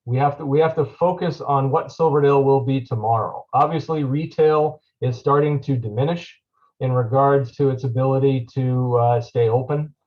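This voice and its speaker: A man's voice: mid-range voice, dull audio. A man who is a deputy county administrator, giving a loose presentation at a public meeting with many ums and uhs. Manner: frequent fillers, loose and conversational.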